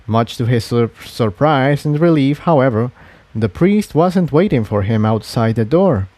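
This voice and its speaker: A man's voice: medium pitch, animated, measured pace, mellow, dry recording. A man narrating an adventure story from a script, as a fan fiction reader.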